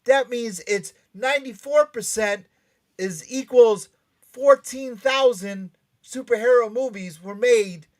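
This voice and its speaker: An excitable show host in casual panel banter, often stammering and repeating phrases. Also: mid-range voice, slow.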